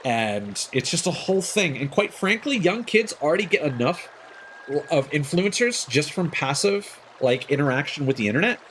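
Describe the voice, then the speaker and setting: A man's voice: fairly high pitch, sing-song, moderate pace, fairly bright tone, a little hiss or hum. A man chatting casually on a livestream as its host, rambling through his opinions. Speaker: live streamer hosting a game stream.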